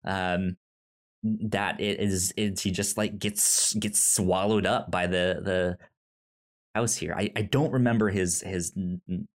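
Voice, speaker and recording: Halting man chatting as a podcast co-host. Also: deep voice, fairly even intonation. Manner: halting, stammering, repeats syllables and words. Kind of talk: casual review chat.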